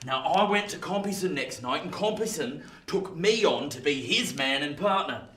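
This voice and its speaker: An actor playing a rough old ex-convict in a radio play, with a working-class English accent, telling his past in an emphatic, colloquial way. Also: a little high, lively intonation, moderate pace, fairly bright tone, slight background noise. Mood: gruff reminiscing. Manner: colloquial, emphatic storytelling that swings into indignation. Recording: roomy, distant from the mic.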